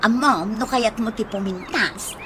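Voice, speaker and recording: A woman's voice: on the high side, measured pace, background noise, lightly acted. A woman playing a vain, showy, self-satisfied wife in a comedy radio drama. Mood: smug.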